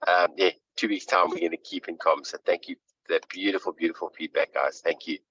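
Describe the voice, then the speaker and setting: A man hosts an online meeting over a video call, gushing praise in a deep voice and dropping in ums. His audio is dry and dull, with the muffled lo-fi sound of a call. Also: fairly even intonation, brisk, husky, dry recording. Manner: effusive, warm praise with filler ums. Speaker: meeting host. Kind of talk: hosting an online team meeting.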